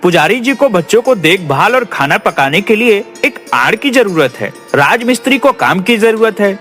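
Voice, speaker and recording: A man's voice: high-pitched, sing-song, moderate pace, fairly bright tone. A man voicing a sensible young villager in a cartoon story, respectful and earnest. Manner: respectful, reasoning. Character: earnest, sensible village youth addressing a king. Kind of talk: cartoon moral story acting. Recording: music behind.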